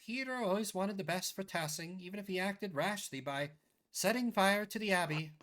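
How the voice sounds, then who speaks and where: A man's voice: sits high, moderate pace, a bit bright, slight background noise. Man voicing a weary, sorrowful peasant mother.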